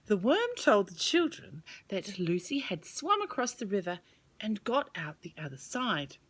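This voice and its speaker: Very animated, slow-paced woman storyteller. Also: medium pitch, husky. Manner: expressive, measured. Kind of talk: children's storybook reading.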